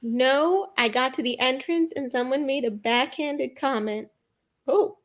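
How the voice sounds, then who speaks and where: Woman with a high voice doing pun banter, giggly, lots of ums. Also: unhurried. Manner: playful, hesitant with fillers and giggles. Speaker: pun contest competitor. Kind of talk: quick-fire pun banter.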